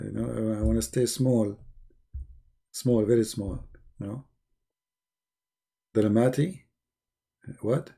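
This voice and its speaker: Husky, gravelly man rambling on a live stream. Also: mid-range voice, mellow. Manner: teasing, sarcastic, repeats phrases. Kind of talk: rambling religious debate live stream chat.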